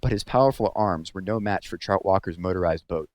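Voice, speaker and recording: A man with a fairly low voice reads a storybook aloud. His delivery is measured and serious, and the sound is dry, with little room around it. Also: darkish tone, no room sound. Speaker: read-aloud narrator. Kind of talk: storybook narration read aloud.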